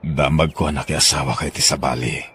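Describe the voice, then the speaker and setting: A man playing Ray, a hurt but forgiving husband in an Ilocano radio drama, with a rough gravelly voice. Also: a bass voice, steady tone, a little fast, fairly bright tone, full character voice.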